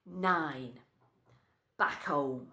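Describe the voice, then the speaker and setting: A woman gives an expressive, deliberate reading of a scripted short story. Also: deep voice. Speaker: reader of short stories. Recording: echoey, roomy indoor sound.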